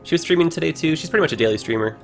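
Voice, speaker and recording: Hoarse, gravelly man streaming a game. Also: a little high, racing, soft background music. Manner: rambling, relaxed, many fillers. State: hoarse, losing his voice. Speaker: livestreamer. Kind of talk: casual gameplay commentary.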